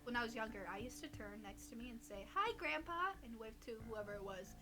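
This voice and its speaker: A woman's voice: a little high, brisk, music in the background. A young woman co-hosting a casual chat, reading creepy stories aloud in a giggly, teasing, irreverent way. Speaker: co-host reading listener stories.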